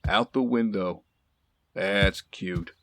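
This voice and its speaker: An actor playing an easygoing but watchful bodyguard, dryly teasing and casual, in an audio drama. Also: male voice, on the low side, slow, a bit bright. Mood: exasperated, wry. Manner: dry, casual, wry.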